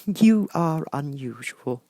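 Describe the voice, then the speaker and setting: A man voicing a kindly young good witch in a storybook, gentle, gracious and measured. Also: on the high side, expressive, no room sound, studio quality, voice pushed up.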